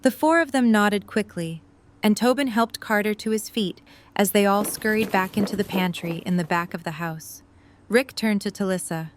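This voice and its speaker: Woman narrator doing an audio drama story, scripted read, medium pitch. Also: moderate pace, slight background noise.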